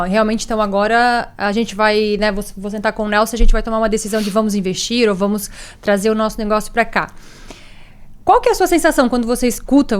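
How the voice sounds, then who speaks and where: A woman's voice: fairly high pitch, clean tone. A woman hosting a podcast interview, asking long chatty questions. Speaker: podcast host.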